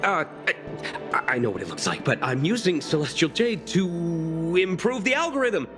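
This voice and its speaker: Stammering, wildly swooping flustered clerk. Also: male voice, on the high side, sing-song, moderate pace, a bit bright. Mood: flustered, defensive. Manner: stammering, defensive. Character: flustered male office clerk caught slacking. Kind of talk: video game voice acting. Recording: music behind the voice.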